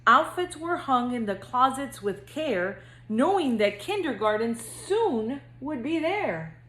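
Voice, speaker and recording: A woman school counselor speaking to young children in a gentle, singsong read-aloud storytelling manner. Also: high-pitched, big pitch swings, slow pace, reverberant, rough recording.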